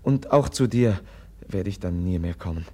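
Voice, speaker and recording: An actor playing a gentle, compassionate prince in a radio drama, speaking earnestly and haltingly as he pleads with his rival. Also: low-pitched, lively intonation, slightly rough. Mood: pleading, insistent. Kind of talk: classic German radio drama acting.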